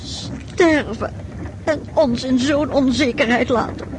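A woman's voice: sits high, slow pace. An actress in a radio drama playing an anxious, scheming, impoverished mother, fretful and urging. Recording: clearly noisy radio play recording.

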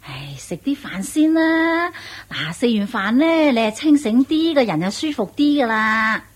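A woman's voice: on the high side, big pitch swings, unhurried. An actress playing a doting but easily exasperated female companion in a radio drama, fussing and cajoling as she talks. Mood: coaxing, doting. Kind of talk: Cantonese radio drama acting.

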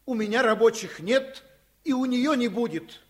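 A man's voice: very high-pitched, lively intonation, normal speed, fairly bright tone, heavily acted. An actor playing a principled doctor and caring father in a radio play, earnest and firm. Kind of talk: Soviet radio play acting.

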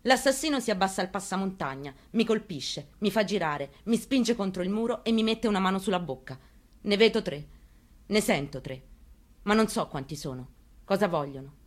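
A woman's voice: mid-range voice, animated, normal speed, lightly acted. A woman performing a dramatic monologue reading as a haunted, plainspoken mother recounting her story. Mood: tense, anguished. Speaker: actress in a staged reading.

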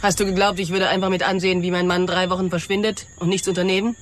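An actress playing a wounded, scornful wife who has been betrayed, in a radio drama. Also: female voice, on the low side, flat delivery, fast talker, slight background noise, lightly acted. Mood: bitter.

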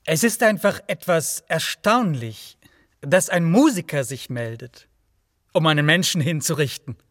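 An actor playing a sceptical, persistent official in a radio play, questioning in a terse and blunt way. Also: male voice, fairly high pitch, expressive, unhurried, a bit bright, no echo, studio quality. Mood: sceptical, probing. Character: sceptical male official interviewing a job applicant, persistent. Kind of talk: naturalistic radio play dialogue.

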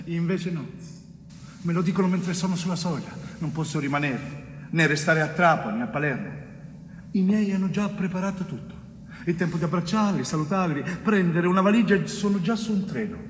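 Un attore che interpreta in un monologo teatrale un giovane muratore siciliano angosciato, ingiustamente accusato, con frasi spezzate e declamate. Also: male voice, a little high, natural voice. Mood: urgent, wistful. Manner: declamatory, halting phrases with emphatic repetition. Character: anguished young Sicilian bricklayer wrongly accused of murder. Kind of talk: dramatic theatre monologue. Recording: echoey theatre hall, distant mic, faint noise.

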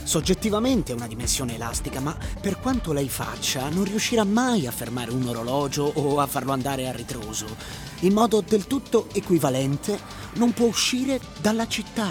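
An actor playing a calmly evasive, patronizing sleeping-car conductor in a theatrical radio drama. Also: male voice, a little high, moderate pace. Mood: smug, lecturing. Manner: patronizing, rhetorical, didactic. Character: condescending male sleeping-car conductor, calm and slyly evasive. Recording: voice over prominent music bed. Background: music.

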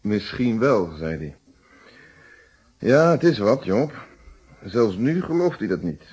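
A man's voice: fairly low pitch, big pitch swings, slow pace, darkish tone, breathy edge, full character voice. An actor playing a blunt, probing police inspector in a radio play, stern and lecturing as he questions people. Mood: wry. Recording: muffled vintage radio play recording.

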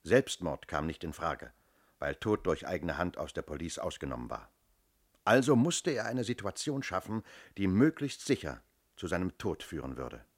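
An actor playing a shrewd, self-assured police inspector in a radio crime drama, speaking firmly and methodically. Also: male voice, low voice, big pitch swings, normal speed, husky, heavily acted. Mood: certain, explanatory. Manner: methodical, firm, explanatory.

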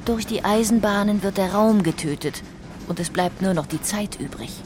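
A woman reading scripted documentary narration in a measured, literary manner. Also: mid-range voice, background noise. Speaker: audiobook narrator.

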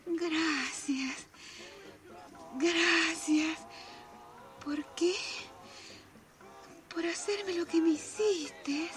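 Coquettish older Argentine wife, high-pitched. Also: female voice, fairly even intonation, very slow, pauses often, a bit bright, heavily acted. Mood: aroused, yearning. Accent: Argentine, Rioplatense. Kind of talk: radio drama acting.

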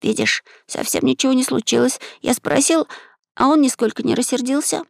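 A woman voicing an earnest, curious and bold seven-year-old boy in a storybook, asking clear, insistent questions. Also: high voice, normal speed, dry recording, clean studio sound, pitched above the performer's own voice. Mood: bold questioning.

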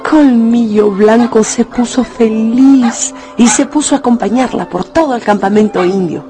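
A woman narrating a dramatized radio novel with expressive storytelling. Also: fairly high pitch, measured pace, over music.

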